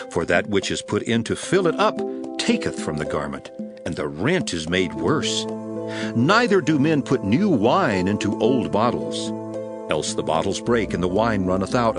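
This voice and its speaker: A lowish, expressive voice a calm holy teacher with solemn authority. He speaks in measured, commanding phrases over clear music in a scripture drama. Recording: music behind the voice.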